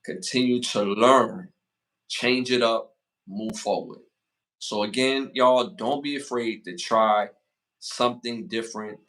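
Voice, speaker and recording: A man in his forties hosting a casual podcast, giving motivational advice and shout-outs, repeating phrases for emphasis. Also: medium pitch, low-quality recording. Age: 40s. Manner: hypes the chat with rapid shout-outs. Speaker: podcast host.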